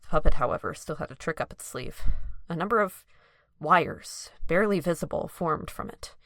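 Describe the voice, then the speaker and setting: A woman's voice: deep voice, lively intonation, normal speed, fairly bright tone, no echo. A woman reading scripted story narration for an audio drama.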